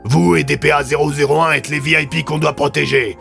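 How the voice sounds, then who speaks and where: A man's voice: on the high side, fairly even intonation, brisk, gravelly, a bit bright, faint music behind, pitched above the performer's own voice. A man voicing a brusque, commanding army colonel who gives clipped military orders in an audio drama. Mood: firm command.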